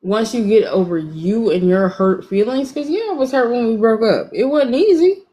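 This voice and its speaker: A woman, calling in on her phone to a live stream and telling her own story frankly, in quick bursts, laughing at herself. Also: fairly high pitch, animated, warm tone. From Atlanta, Georgia, US. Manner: frank, self-deprecating, talks in quick bursts. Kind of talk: candid casual storytelling.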